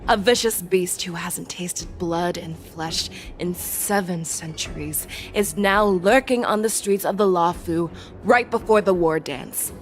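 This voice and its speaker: A woman voicing a composed, shrewd general in a video game, speaking in a commanding, measured, instructive way. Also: lowish voice, faint music behind. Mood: grave, cautioning. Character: composed, shrewd female general, a seasoned hunter. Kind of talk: dramatic video game voice acting.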